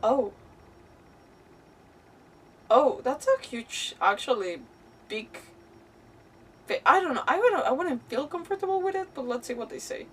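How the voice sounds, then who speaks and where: A woman live streamer giving casual, animated commentary on a game, thinking aloud in a giggly, chatty way. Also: sits high, a little slow, long pauses, slightly rough. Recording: slightly distant mic, faint game music. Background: faint game music.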